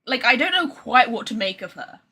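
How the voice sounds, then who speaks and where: A woman's voice: a little high, very animated, fairly quick, pushed and bright, slightly rough. A young adult woman giving casual, informal livestream commentary while gaming. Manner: informal, sweary. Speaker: game streamer. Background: game music.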